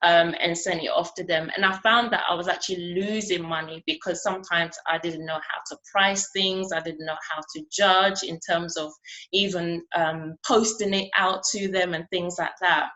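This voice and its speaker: Soft-spoken woman giving a conference speech. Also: lowish voice, steady tone, low-quality recording. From Ghana. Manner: earnest, conversational, with many "um" pauses. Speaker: artist speaking at a conference.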